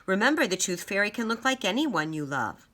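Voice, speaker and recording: Woman reading as a gentle, bright-voiced mother. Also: mid-range voice, sing-song, fairly quick, a little hiss or hum, voice pushed up. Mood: reassuring. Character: gentle, reassuring mother of a young child.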